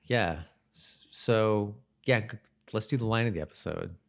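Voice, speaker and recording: Low-voiced man, halting casual podcast chat. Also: vocal fry. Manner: halting, repeats and rephrases himself. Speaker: podcast co-host. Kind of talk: casual chat about a TV episode.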